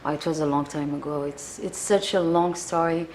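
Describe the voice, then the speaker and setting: A young adult woman with a French accent, a singer answering interview questions in a casual, earnest way, often pausing to search for words. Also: low voice, steady tone, a little mellow, slight background noise. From France.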